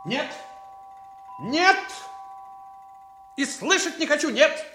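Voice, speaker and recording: A man's voice: very high voice, lively intonation, very slow, sharp tone, reverberant, soft background music, exaggerated voice. A male actor playing a hot-tempered, erratic Russian emperor who barks orders imperiously in a theatrical historical radio drama. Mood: furious outburst. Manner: imperious, explosive, barking orders.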